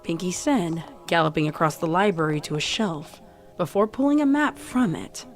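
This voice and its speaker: A woman's voice: fairly low pitch, lively intonation, moderate pace. A woman narrating a scripted story as a fan fiction reader. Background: music.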